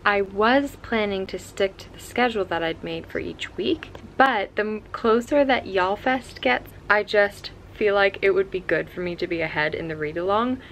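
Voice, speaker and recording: A woman's voice: mid-range voice, fairly bright tone. A young American woman chatting casually and informally to camera in a reflective way as a book vlogger. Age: young adult. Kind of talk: casual vlog chat. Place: bedroom at home, indoors. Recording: clear ambient room noise.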